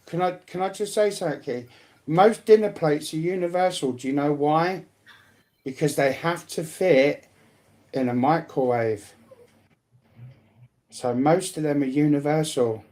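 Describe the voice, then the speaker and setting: A British speaker in casual livestream banter, blunt, combative and swearing heavily, with a gravelly voice. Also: mid-range voice, measured pace, pauses often, darkish tone.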